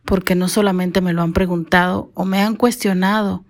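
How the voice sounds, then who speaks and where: A woman's voice: on the low side, steady tone, normal speed. A woman from the Dominican Republic hosting her own podcast, talking alone to her listeners in careful, formal speech shaped by training in broadcasting. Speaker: podcast host. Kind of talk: solo podcast monologue.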